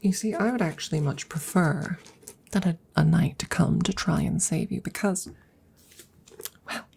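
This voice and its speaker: A woman voicing an ancient, courtly and slightly awkward female dragon in a soft ASMR roleplay, breathy and often whispered, with hesitant, formal phrasing. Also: fairly low pitch, normal speed, heavily acted. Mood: musing, courteous. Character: courtly, awkward centuries-old female dragon in human guise.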